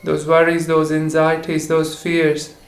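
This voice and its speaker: Slow, echoey male meditation guide. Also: on the high side, monotone. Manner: slow, halting delivery with short phrases. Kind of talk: guided meditation for relaxation and sleep. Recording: roomy echo with faint insect noise.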